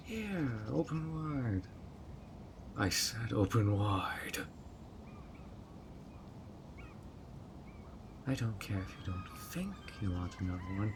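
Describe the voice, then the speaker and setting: A man's voice: mid-range voice, expressive, a little slow, background noise, lightly acted. A man voicing a handsome, obsessive male fairy who coaxes and dotes in a possessive way, in an audio roleplay. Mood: controlling. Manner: coaxing and doting, turning controlling.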